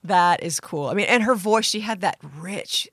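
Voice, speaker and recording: A woman from Canada, a country singer guest, with a deep voice, talking earnestly in a casual interview. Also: moderate pace, fairly bright tone, husky, dry recording, clean studio sound.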